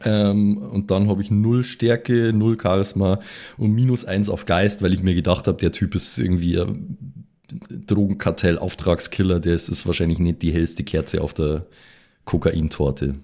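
A man's voice: sits low, fairly even intonation, normal speed, warm tone. A man talking casually as a player in a tabletop role-playing game.

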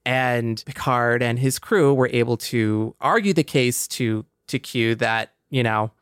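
A male podcast host with a clear, smooth voice, recorded close and dry. He talks in a casual, reflective way and sounds appreciative and agreeable, at a middling pitch. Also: measured pace, a bit bright, no room sound.

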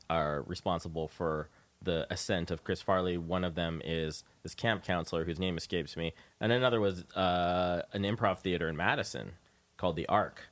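A man hosting a radio show, chatting casually and informally with a guest in loose, halting phrases. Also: low voice. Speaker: radio show and podcast host, interviewing. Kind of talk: casual conversational interview.

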